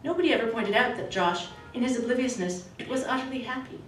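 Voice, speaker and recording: Brief: woman novelist at a podium, careful expressive reading, distant mic in a roomy hall, faint hiss. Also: on the high side. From grew up in Australia, Canada and the States. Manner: measured, expressive reading; halting and self-correcting when answering. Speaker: novelist reading and taking questions. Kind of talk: literary reading, then casual Q&A. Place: small event room with a red curtain, indoors. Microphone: podium microphone, recorded from a far camera.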